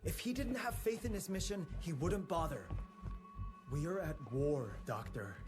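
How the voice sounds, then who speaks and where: An actor playing an earnest, conciliatory young engineer in a video game cutscene, reasoning in a pleading way. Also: male voice, fairly high pitch, animated, normal speed, close to an everyday voice. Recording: music under the voice.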